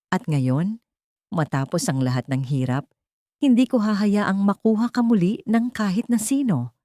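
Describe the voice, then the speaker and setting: A woman voicing a wealthy young man who speaks calmly and firmly to protect his sister. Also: medium pitch, normal speed, no room sound, studio quality, voice pushed up, close to an everyday voice. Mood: resolute. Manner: calm, commanding, gentle toward his sister.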